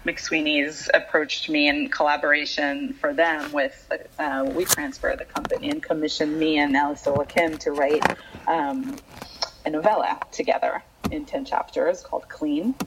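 A woman whose first language is Hebrew, an author speaking in an interview in a thoughtful, hesitant way with many pauses and restarts. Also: deep voice, noisy background. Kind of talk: reflective conversational interview. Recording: dull lo-fi remote audio.

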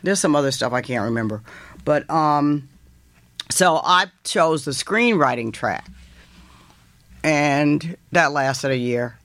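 Deep voiced woman in her 50s, author guest chatting, lively retelling, dry mic. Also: slow pace, pauses often, dry recording. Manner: animated, quotes others in lively voices, laughs while telling. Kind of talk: casual storytelling interview.

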